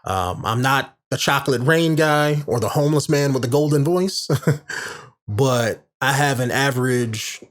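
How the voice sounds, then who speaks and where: Warm-voiced man rambling on a podcast. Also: mid-range voice, a little slow. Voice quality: warm, medium-range voice. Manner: loose and rambling, many fillers and restarts. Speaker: podcast host. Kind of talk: casual explanatory monologue. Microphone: large studio condenser microphone.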